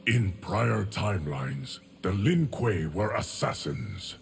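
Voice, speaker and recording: A man playing a stoic, ancient guardian of time in a video game, speaking formally and gravely with a gravelly voice. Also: lively intonation, noisy background, exaggerated voice. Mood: solemn, measured. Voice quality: deep, gravelly. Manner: slow, measured, formal. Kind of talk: scripted game dialogue.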